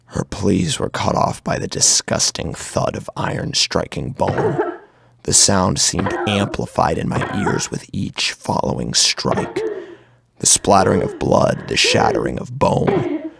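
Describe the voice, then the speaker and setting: A man's voice: low voice, wildly swooping pitch, a little slow, vocal fry, fairly bright tone, a little hiss or hum. A man narrating a horror story in the first person, in a grave, brooding, dramatic manner.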